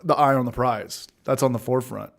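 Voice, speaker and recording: A man's voice: mid-range voice, brisk, vocal fry. A man in his late thirties co-hosting a casual beer livestream, rambling and chatty as he greets the chat. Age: 30s. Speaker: livestream co-host.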